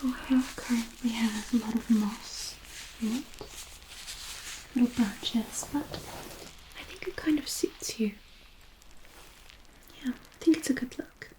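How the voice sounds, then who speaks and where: A woman playing a gentle, caring fairy healer in a fantasy ASMR roleplay, whispering softly and soothingly. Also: on the high side, poor audio. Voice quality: soft, breathy whisper. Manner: soothing, unhurried, attentive.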